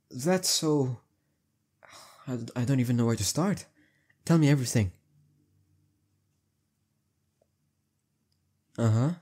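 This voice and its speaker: A young man acting as a heartbreaker friend in an intimate roleplay audio, talking casually and haltingly with emphasis and a soft husky edge. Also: mid-range voice, lightly acted. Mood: surprised, curious.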